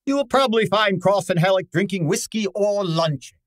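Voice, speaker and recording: A man's voice: sits high, expressive, brisk. A man playing a large, pompous, irritable genius detective in an old time radio style drama, speaking formally and with exasperation. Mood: stern, imperious. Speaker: actor in an audio detective drama.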